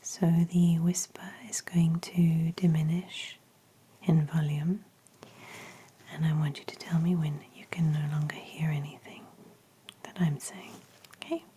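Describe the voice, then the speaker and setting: Hushed, slow-talking woman doing ASMR. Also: low voice, level tone, husky. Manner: gentle, hushed, whispery delivery. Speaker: ASMR roleplayer as eye and ear examiner. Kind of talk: ASMR medical exam roleplay, soft spoken.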